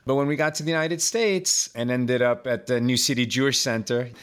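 A man's voice: mid-range voice, a little fast, clear, resonant tone. A man in his fifties from Chile, a podcast guest telling his life story in a loose, conversational way, full of fillers and repeated words. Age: 50s.

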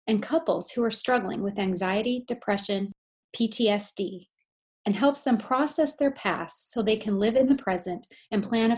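A woman's voice: mid-range voice, darkish tone. A woman hosting a podcast, reading a guest introduction and then chatting eagerly with her guest. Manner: upbeat, eager. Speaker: podcast host. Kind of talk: scripted intro then casual interview.

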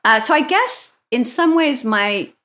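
A woman's voice: a little high, lively intonation, measured pace, a bit bright. Upbeat woman co-hosting a podcast. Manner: upbeat, asks questions, frequent "right?" tags. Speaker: podcast co-host. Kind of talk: conversational podcast talk.

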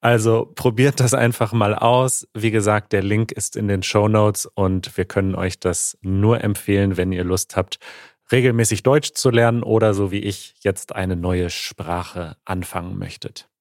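Guy cohosting a podcast, kinda low voice, upbeat, laughs a lot, dry close mic. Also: fairly even intonation, unhurried, darkish tone, no echo. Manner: enthusiastic, laughs easily. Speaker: podcast co-host. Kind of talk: casual podcast chat.

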